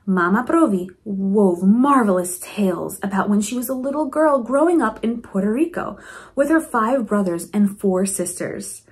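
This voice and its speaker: A woman reading a storybook aloud to children in an expressive, encouraging way, with teaching asides. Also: a little high. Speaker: principal reading a picture book to children.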